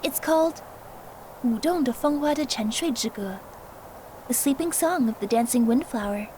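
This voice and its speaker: A woman's voice: high voice, expressive, normal speed, mellow, a little hiss or hum. A woman playing a polite, coy seductress on a date in an audio drama. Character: poised, secretly scheming seductress on a date.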